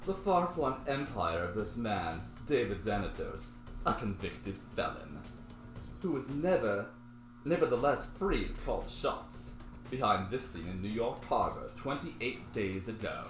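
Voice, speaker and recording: A man reading the part of a male TV news anchor in slow, measured broadcast delivery. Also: on the low side, sing-song, echoey room, soft background music. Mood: formal.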